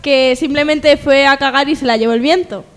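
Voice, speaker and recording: A high-voiced woman with a Spanish accent from Spain presents mock news in a halting, chatty way. Her voice rings with long reverb. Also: fairly even intonation. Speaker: mock news presenter on a comedy radio show. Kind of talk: joking news reading with panel banter.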